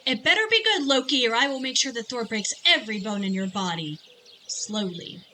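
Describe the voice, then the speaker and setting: A woman playing an irate, sharp-tongued goddess wife in an audio drama, scolding and exasperated. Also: fairly high pitch, expressive, brisk. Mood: threatening. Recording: noticeable background noise.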